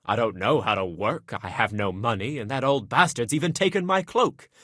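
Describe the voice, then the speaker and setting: A man's voice: mid-range voice, expressive, moderate pace, sharp tone, dry recording, studio quality. A man playing a lusty, self-pitying young nobleman in a video game, complaining dramatically in coarse, colloquial speech. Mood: grumbling, confiding. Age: young adult. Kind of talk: video game character dialogue.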